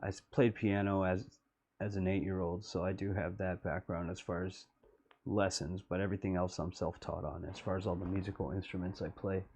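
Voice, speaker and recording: A middle-aged man talking through his own music video, slow and wistful. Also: flat delivery, darkish tone, slight background noise. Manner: low-voiced, slow and wistful. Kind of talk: reflective commentary.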